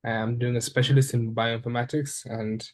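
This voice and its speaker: A young man from Uganda, a fourth-year undergraduate, thinking aloud in a relaxed interview with many ums and restarts. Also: mid-range voice, normal speed. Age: young adult. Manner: thoughtful, with frequent ums and restarts. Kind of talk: reflective casual conversation.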